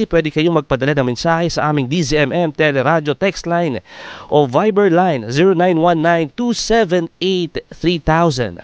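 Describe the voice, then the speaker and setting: A Filipino man hosts radio talk with a clear, resonant voice, pitched a little high for a man. He coaxes listeners in an intimate, conversational way. The sound is close and dry. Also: dry recording, highs cut off. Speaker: radio host of late-night relationship advice show. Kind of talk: conversational live radio talk in Tagalog-English.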